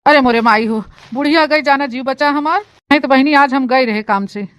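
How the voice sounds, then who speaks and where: An actress plays a sly, scheming new bride in a comic village cartoon. The voice is clearly high and has an eastern Uttar Pradesh accent. The delivery is dramatic and complaining, recorded dry with no room sound. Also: female voice, moderate pace, a bit bright, dry recording. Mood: relieved, grumbling. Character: sly rural new bride hiding a secret from in-laws. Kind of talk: comic village story dialogue and monologue in dialect.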